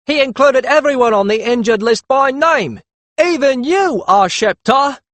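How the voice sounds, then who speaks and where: A man voicing an angry senior warrior who shouts in a fantasy audiobook. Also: very high-pitched, lively intonation, a little slow, dry recording, pitched above the performer's own voice, exaggerated voice. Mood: outraged.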